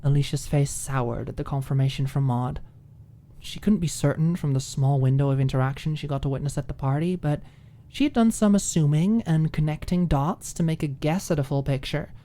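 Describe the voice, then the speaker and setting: A woman reading a scripted fiction audiobook in an expressive, ASMR storytelling style. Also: low voice, fairly quick. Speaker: audiobook narrator.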